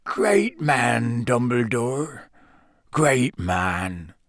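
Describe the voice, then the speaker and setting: A man voicing a huge, humble half-giant in a storybook reading, with a hoarse, croaky voice and halting rustic speech. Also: mid-range voice, lively intonation, a bit bright, no room sound, studio quality, full character voice. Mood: sheepish, moved.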